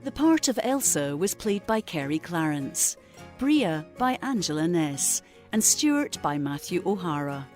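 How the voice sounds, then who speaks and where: Lowish, animated woman announcer. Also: unhurried, soft background music. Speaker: credits announcer. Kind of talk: scripted narration.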